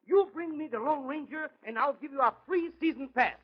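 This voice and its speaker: Extremely high-pitched, haggling showman. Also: brisk. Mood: wheedling. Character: haggling, fast-talking small cinema owner. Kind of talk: 1940s radio comedy acting. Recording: muffled vintage radio recording.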